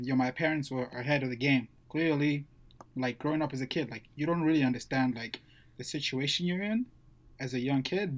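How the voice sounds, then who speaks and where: A young man from Zimbabwe sharing his background in a casual podcast chat, using easy slang. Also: mid-range voice. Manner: casual slang, addresses friends as bro.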